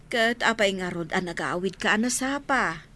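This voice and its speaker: A woman's voice: medium pitch, normal speed, bright, forceful tone, close to an everyday voice. Woman playing fretful, nagging village mother. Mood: complaining. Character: worn, nagging village mother of struggling household. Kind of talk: comedy radio drama acting.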